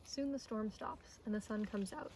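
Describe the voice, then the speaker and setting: A young woman reads a nature storybook aloud in an ordinary mid-pitched voice. She is outdoors in the woods, with faint ambient noise around her. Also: normal speed. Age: young adult. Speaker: intern reading a storybook aloud. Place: outdoors on a forest trail. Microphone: camera or phone a short distance away.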